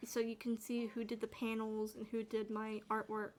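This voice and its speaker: A woman gaming streamer with a naturally quiet, soothing voice, chatting in a loose, rambling way while she plays. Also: on the high side, completely flat delivery, moderate pace, slight background noise. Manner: rambling, with fillers and restarts. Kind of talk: soft-spoken gaming chat.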